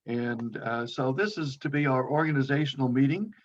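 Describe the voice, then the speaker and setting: Halting man hosting over a dull line. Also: medium pitch. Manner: halting, filler-heavy. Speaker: meeting host. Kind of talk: moderating an online meeting. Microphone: video call. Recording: dull lo-fi audio.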